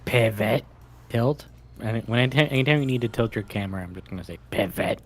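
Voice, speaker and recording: A man's voice: medium pitch, brisk. A man on a raid's voice chat, bantering in mock indignation with the streamer. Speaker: raid member on voice chat. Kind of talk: casual gaming voice chat.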